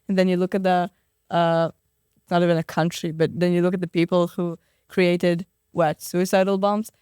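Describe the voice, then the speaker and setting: A woman's voice: lowish voice, mellow, clean tone, dry recording, studio quality. A teenage girl, fifteen, as an interview guest, arguing outspokenly with emphatic rhetorical questions. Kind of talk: conversational interview, impassioned argument.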